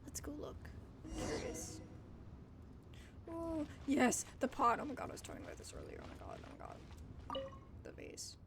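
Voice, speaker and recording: A woman streamer giving casual, expressive let's play commentary as she reacts to a game. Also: high voice, moderate pace, vocal fry, long pauses, in a whisper. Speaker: gaming streamer. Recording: loud music behind the voice.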